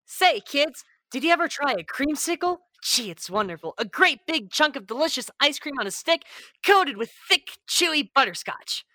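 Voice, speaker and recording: A woman's voice: high voice, very animated, normal speed, sharp tone, no echo, full character voice. A young woman playing an eager, gushing kid in an old-time radio commercial. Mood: delighted. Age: young adult. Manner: gushing, enthusiastic.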